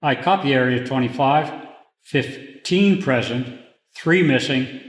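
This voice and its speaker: A man reading scripted instructional material and radio procedures in a deliberate, procedural way. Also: medium pitch. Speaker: training presenter and radio net controller in demonstration.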